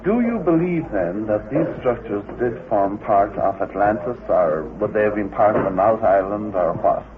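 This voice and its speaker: A man's voice: on the low side, expressive, normal speed, hardly any pauses, background noise. A man conducting an unscripted interview as the interviewer. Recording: muffled lo-fi tape, busy crowd behind.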